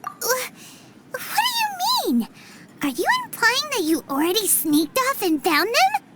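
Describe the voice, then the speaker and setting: A woman voicing a tiny, excitable, childlike fairy companion in an anime-style video game, squeaky and full of exclamation. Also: a little slow, heavy background noise, exaggerated voice. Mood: indignant. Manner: squeaky, exclaiming, speaks of self in third person. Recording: music playing behind the voice. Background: prominent game music.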